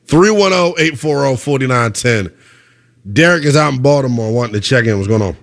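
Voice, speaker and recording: A man in his twenties hosting a call-in sports talk show, lively and slangy, laughing often. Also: mid-range voice, brisk. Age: 20s. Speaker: sports radio host.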